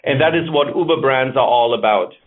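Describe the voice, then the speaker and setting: A middle-aged man with a German accent explains his ideas in a measured, thoughtful way, pausing with fillers as he thinks. His voice sits at a medium pitch. It comes through a narrow, tinny phone line. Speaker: author and marketing executive, guest. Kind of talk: interview answers over the phone.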